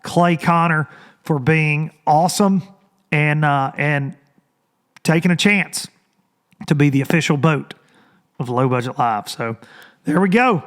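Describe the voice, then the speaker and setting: Hoarse, rambling podcast host man. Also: a little high, measured pace, pauses often. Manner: loose, rambling, talks to listeners as y'all. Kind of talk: casual podcast monologue. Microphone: studio microphone.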